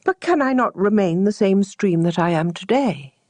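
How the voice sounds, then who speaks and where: A woman's voice: mid-range voice, lively intonation, normal speed, darkish tone, no room sound, close to an everyday voice. A woman voicing a doubtful, proud stream in a storybook tale, questioning and hesitant. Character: doubtful, proud stream afraid of losing itself.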